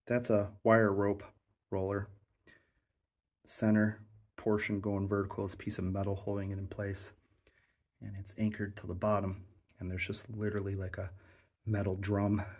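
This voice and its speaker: A man with a low, slightly husky voice walks through a cramped abandoned mine and talks to his camera. He sounds eager and points out old equipment as he goes. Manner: enthusiastic, explains as he goes. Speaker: mine explorer. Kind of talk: narrated exploration vlog.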